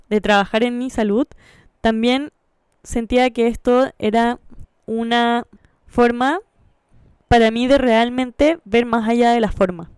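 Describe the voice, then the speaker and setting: A woman's voice: a little high, darkish tone, clean tone, dry recording, clean studio sound. A woman with a Chilean Spanish accent telling her personal story in a reflective, candid way, like a vlogger.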